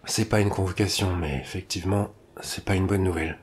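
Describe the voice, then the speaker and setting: A man's voice: sits low, steady tone, a little fast, lightly acted. A man acting in an audio drama as a middle-aged police inspector, terse and restrained, with exasperation under the surface. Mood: exasperated.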